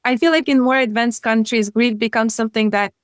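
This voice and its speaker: A woman's voice: on the high side, steady tone, brisk, no echo, studio quality. A woman in her thirties, from Ukraine and not an English speaker, speaking as a guest grief coach in an earnest, casual way, often dropping articles. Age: 30s. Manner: earnest, drops articles, frequent "you know" and "like". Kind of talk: casual interview chat.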